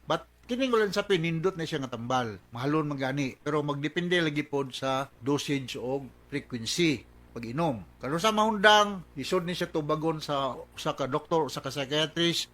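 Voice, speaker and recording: A man's voice: a little high, a bit bright. A man with a Cebuano Filipino accent giving conversational medical advice as a radio host, often switching into English. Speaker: doctor hosting a radio advice programme.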